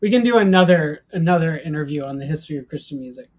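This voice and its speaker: A man's voice: a little high, animated, fast. An American man in his forties speaking casually as an interview guest, telling personal anecdotes in a self-deprecating way. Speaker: guest, professor of ancient Christianity.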